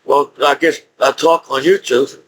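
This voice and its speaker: A man in his forties speaking in a rambling, halting monologue with frequent restarts and laughs. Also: medium pitch, steady tone, a little hiss or hum, low-quality recording. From United States. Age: 40s. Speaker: YouTuber.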